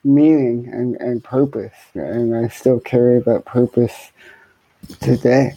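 A man with a speech impairment that slurs his words, answering interview questions conversationally as a guest musician, in a deliberate way with repeated words. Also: mid-range voice, fairly even intonation, dark tone, no room sound, poor audio. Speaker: podcast guest, guitarist and musician.

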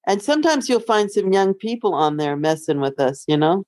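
A woman's voice: mid-range voice, very animated, moderate pace, a little mellow, no room sound, clean studio sound. A middle-aged woman co-hosting a podcast, talking casually in a wry, matter-of-fact way. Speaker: podcast co-host. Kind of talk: casual conversational chat.

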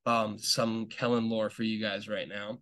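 A man's voice: on the low side, level tone, a little slow, pushed and bright. Chatty man rambling with many fillers. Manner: enthusiastic fan talk. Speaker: podcast host. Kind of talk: casual rambling monologue.

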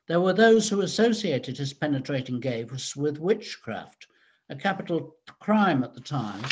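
A man reading a scripted history narration in a measured, storytelling way. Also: mid-range voice, husky.

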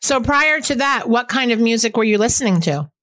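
A woman's voice: on the high side, expressive, fairly quick, fairly bright tone, no echo. A woman from New Jersey hosting a podcast, introducing a guest and asking plain, friendly questions. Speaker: podcast host. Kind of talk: casual podcast interview.

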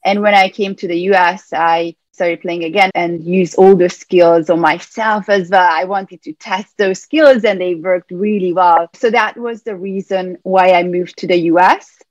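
Lower-voiced woman coach. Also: fairly even intonation, unhurried, hardly any pauses, no room sound, lo-fi sound. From Hungary. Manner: earnest, explains step by step with small restarts. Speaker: mental performance coach, guest. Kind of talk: interview answers.

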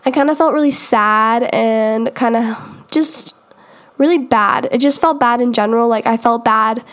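A woman's voice: on the high side, moderate pace, a bit bright. A young woman hosting her own podcast, talking casually with lots of fillers and restarts while getting over an illness. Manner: chatty, rambling, many "um" and "like" fillers. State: recovering from illness, congested. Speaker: podcast host. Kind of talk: casual solo podcast chat.

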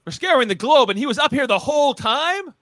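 An impatient superhero leader in a radio play, acted by a man, barks clipped orders in a high, sharp voice. His delivery is animated and urgent. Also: fairly quick, dry recording. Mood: tense, exasperated. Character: commanding, impatient young male superhero team leader. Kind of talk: live radio-play read of a superhero script.

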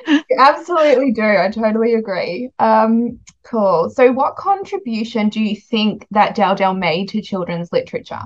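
A woman's voice: a little high, sing-song, normal speed, no room sound, dull audio. An Australian woman hosting a podcast, asking questions and chatting casually about books in an interview.

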